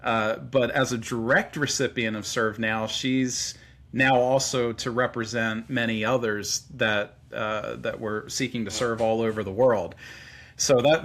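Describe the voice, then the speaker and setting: A man of middling pitch hosts a ministry podcast interview. He speaks earnestly and sincerely, pausing often and filling gaps with small hesitations as he introduces his guest. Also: fairly bright tone. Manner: earnest, halting with fillers. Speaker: podcast host.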